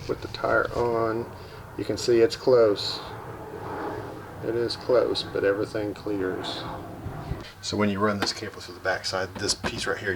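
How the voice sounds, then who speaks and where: A man casually walking through a vehicle install outdoors, relaxed and practical, with lots of fillers and restarts. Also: lowish voice, heavy background noise. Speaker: vlogger. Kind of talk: casual how-to walkthrough.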